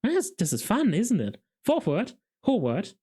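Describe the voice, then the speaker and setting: A man's voice: high voice, big pitch swings, unhurried, a little mellow, voice pushed up. A man voicing an earnest, naive young male city watchman, eagerly puzzling out guesses. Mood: eager guessing.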